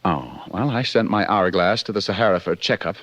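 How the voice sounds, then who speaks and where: An actor playing a slick, wisecracking private detective in 1940s radio style, sardonic and flirtatious. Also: male voice, low-pitched, animated, brisk, fairly bright tone, no room sound. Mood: flirtatious, wry. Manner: sardonic, flirtatious banter and first-person narration. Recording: 1940s radio broadcast with music.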